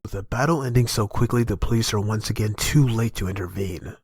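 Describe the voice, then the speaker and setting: A man reading dramatic scripted narration for a comic dub, with a husky, gravelly voice. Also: fairly low pitch, a little fast, darkish tone, no echo, clean studio sound.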